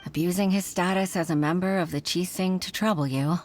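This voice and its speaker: An actress playing an earnest, troubled young junior official in a video game, speaking formally and with deference. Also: female voice, on the low side, steady tone, fairly quick, darkish tone, a little hiss or hum, natural voice. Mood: frustrated, weary.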